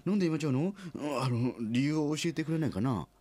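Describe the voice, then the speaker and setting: A man's voice: a little high, lively intonation, fast talker, dark tone, no room sound, pitched above the performer's own voice. A man playing a rambling, jovial middle-aged small-factory president who loves his own puns, in a comic radio drama. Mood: flustered.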